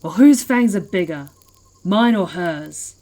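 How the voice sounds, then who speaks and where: A woman's voice: fairly low pitch, lively intonation, takes it slowly, warm tone. A woman playing a proud, menacing werewolf who leads an ancient pack, speaking with haughty deliberation in an ASMR fantasy roleplay. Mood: seething.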